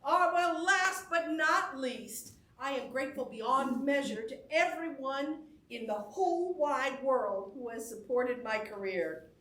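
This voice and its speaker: Woman acting as gushing, high-voiced Hollywood star, roomy sound. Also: a little slow, hardly any pauses, a bit bright. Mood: grateful, tender. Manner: effusive, folksy, chuckling between phrases. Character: exuberant, gushing female Hollywood star giving a thank-you speech. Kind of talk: theatrical staged-reading monologue. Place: indoor stage before a live audience. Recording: roomy stage sound with faint noise.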